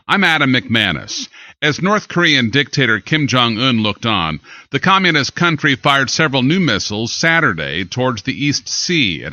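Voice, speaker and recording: American guy doing scripted news, bright forceful voice, really animated, emphatic anchor style. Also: mid-range voice, dry recording, highs cut off.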